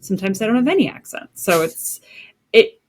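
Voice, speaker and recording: A woman's voice: mid-range voice, a little hiss or hum. Chatty woman co-hosting a podcast. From United States. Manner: chatty, animated, quick asides. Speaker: podcast co-host. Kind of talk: casual chat.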